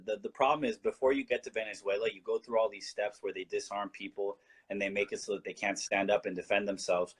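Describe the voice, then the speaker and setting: A man's voice: lowish voice, husky. A man from Colombia, a guest in a casual talk-show discussion, explaining his views earnestly and often restarting his sentences.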